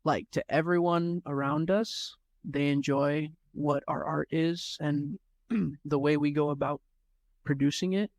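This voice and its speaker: Opinionated young man, slightly high voice. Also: steady tone, unhurried, a little mellow, no room sound. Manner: opinionated, reflective, speaks in long stretches. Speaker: rapper guest. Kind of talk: casual chat. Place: studio room.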